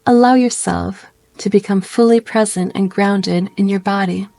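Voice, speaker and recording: A woman guiding a meditation in a gentle, soothing way, speaking in slow phrases with pauses. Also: medium pitch, gravelly, no room sound. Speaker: meditation guide. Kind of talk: guided meditation narration.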